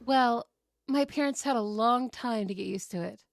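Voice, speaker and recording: A woman's voice: a little high, moderate pace, vocal fry, slight background noise. A woman playing an outgoing, cheerful young woman in a scripted audio roleplay, telling stories in a chatty, playful way. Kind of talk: scripted ASMR roleplay acting.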